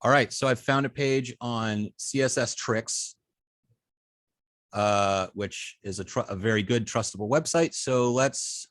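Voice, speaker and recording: Male instructor, informal and halting, mid-pitched, very little room sound. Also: fairly bright tone, no room sound. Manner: hesitant, halting, often repeats words. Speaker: web design class instructor. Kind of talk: informal online teaching and student check-ins.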